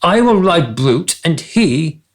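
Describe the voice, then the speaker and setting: A man's voice: a little high, very animated, slow pace. A man playing a vain, dim, easily amused king with an imperious manner in a comic radio play. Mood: pompous.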